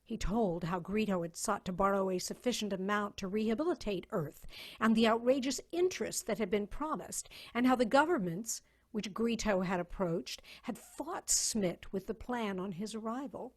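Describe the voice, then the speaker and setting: A woman narrator giving a measured, literary dramatic reading of a story over a video call. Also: medium pitch.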